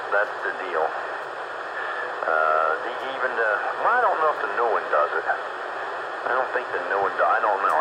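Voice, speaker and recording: Man, lowish voice, net control on a ham radio roundup; folksy, rambling; heavy static on the line. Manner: folksy, rambling, trails off and restarts. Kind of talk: casual chat over amateur radio.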